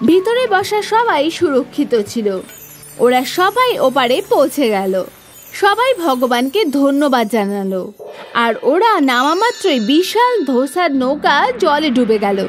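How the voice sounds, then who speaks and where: High-pitched, lively woman storyteller. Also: measured pace, faint music behind. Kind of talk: scripted children's story narration.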